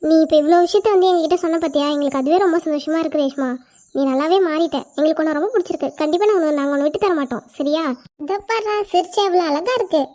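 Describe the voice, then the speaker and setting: A woman's voice: high-pitched, racing, warm tone, lightly acted. A woman voicing a caring, bossy young woman who comforts her friend firmly. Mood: soothing. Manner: soothing, firm.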